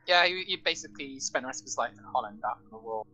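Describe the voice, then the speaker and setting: A man's voice: mid-range voice, animated, rapid-fire, pushed and bright. A British man chatting casually about history as a co-commentator during a video game. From United Kingdom.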